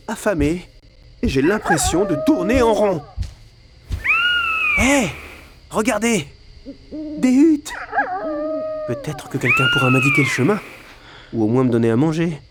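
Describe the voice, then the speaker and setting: An actor playing a hapless, good-natured, hungry big panda in a game, whiny and exclamatory, over a very noisy background. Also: male voice, sits high, huge pitch swings, measured pace, long pauses, heavily acted. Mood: weary, complaining.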